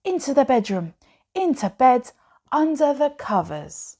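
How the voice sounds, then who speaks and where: Slow-paced woman reading a children's storybook. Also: sits high, big pitch swings, dark tone, breathy edge. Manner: slow, measured, dramatic storytelling for young children. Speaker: storybook narrator. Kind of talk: expressive read-aloud of children's bedtime stories.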